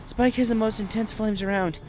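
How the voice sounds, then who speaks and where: A woman's voice: medium pitch, fairly even intonation, fairly quick, a little mellow, natural voice. A woman voicing a determined young heroine pony, urgent and earnest, in a dramatic story reading. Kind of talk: dramatic fan fiction audio reading.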